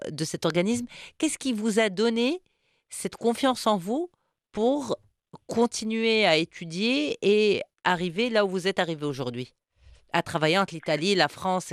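A woman hosting a podcast who interviews her guest with lively, probing questions. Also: lowish voice, dry recording. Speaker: podcast host.

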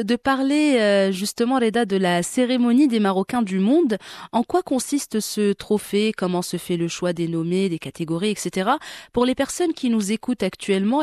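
An interview host asks long, winding questions, stopping with fillers and restarts. The voice sits at a middle pitch, and the recording is dry and close. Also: darkish tone, dry recording. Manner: hesitant, filler-heavy questions.

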